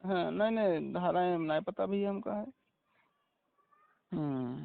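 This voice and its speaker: Placating, high-voiced man on a thin phone line. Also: measured pace, gravelly. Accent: rural Uttar Pradesh, Awadhi-influenced. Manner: placating, hesitant, acquiescent. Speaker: timber contractor. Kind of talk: defensive phone conversation. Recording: tinny, narrowband recorded phone call.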